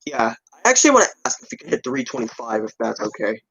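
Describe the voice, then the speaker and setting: A man's voice: mid-range voice, big pitch swings, fast, sharp tone, slightly rough. A twenty-year-old man chatting casually to his viewers, rambling and repeating himself in an offhand way. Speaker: video creator updating viewers. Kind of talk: casual chat to viewers, answering comments.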